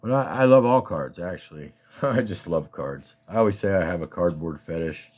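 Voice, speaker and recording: A man with a slightly scratchy voice, casually and haltingly talking through his collection as a hobbyist host. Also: low-pitched, expressive, a little mellow. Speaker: collector hosting a card showcase. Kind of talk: casual rambling show-and-tell talk.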